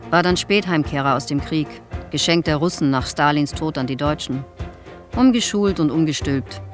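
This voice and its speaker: An actor playing a bitter, self-pitying old theatre make-up artist in a radio drama, talking colloquially, with grievance and boasting. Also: male voice, fairly high pitch, natural voice. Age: older.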